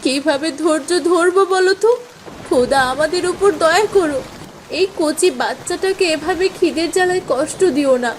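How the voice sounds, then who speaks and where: Woman acting high-pitched, distressed village mother. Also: moderate pace. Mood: despairing. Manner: plaintive, pleading. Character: distressed poor village mother, anxious and pleading. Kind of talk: Bengali moral-story cartoon voice acting. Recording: faint rain noise behind.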